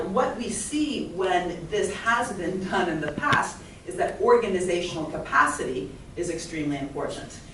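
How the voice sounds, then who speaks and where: A middle-aged woman from Italy with an American accent, giving an animated, fluent talk as a panel speaker, with frequent "you know" fillers. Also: low-pitched, a little hiss or hum. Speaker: economics professor, panel speaker. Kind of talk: public talk at a panel event. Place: large echoey event hall. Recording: echoey hall from far off.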